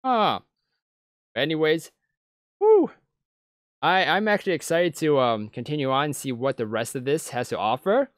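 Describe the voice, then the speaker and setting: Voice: medium pitch, lively intonation, normal speed, pauses often, no room sound, clean studio sound. A let's play host of unclear sex, reading a visual novel aloud with casual, musing commentary. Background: game music.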